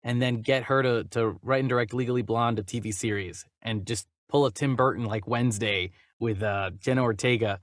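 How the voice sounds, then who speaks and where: A man hosting a casual movie news chat in an animated, jokey and irreverent way. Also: medium pitch, a little fast, few pauses, no echo, clean studio sound. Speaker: podcast host.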